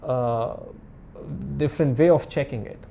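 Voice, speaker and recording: Gravelly-voiced man explaining as a guest expert. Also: medium pitch, warm tone, a little hiss or hum. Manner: halting, repetitive, non-native English phrasing. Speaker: diamond expert, interview guest. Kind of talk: casual expert explanation in interview.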